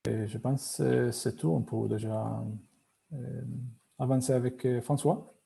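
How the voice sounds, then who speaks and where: A man's voice: mid-range voice, fairly even intonation, unhurried, vocal fry, dark tone, slightly rough. A man moderating an online Q&A, reading questions out plainly. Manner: plain, reads questions aloud. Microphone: video call.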